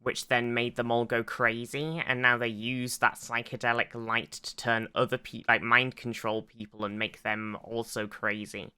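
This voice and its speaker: Male co-host, strikingly bright and smooth, informal and excitable, recapping TV in loose conversational phrases. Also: mid-range voice, moderate pace, hardly any pauses. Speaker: podcast co-host. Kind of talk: casual TV episode recap chat.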